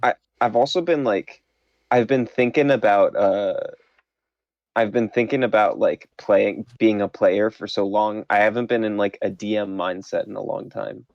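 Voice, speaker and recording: A man who runs a tabletop game, chatting casually after a session in a halting, self-deprecating way with many restarts. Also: on the low side, steady tone, vocal fry, darkish tone, no echo. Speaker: game master. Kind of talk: casual post-game chat. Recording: muffled lo-fi audio.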